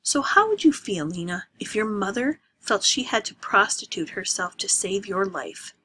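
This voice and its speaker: A woman's voice: mid-range voice, normal speed, pushed and bright, slight background noise, close to an everyday voice. A woman voicing an angry, desperate young man who pleads heatedly.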